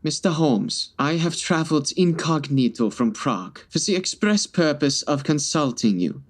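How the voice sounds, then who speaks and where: A man's voice: a little high, expressive, moderate pace. A man playing a grandiose, formal Bohemian king in a comedy audio drama. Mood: stately. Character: stately, formal Bohemian king in disguise as count.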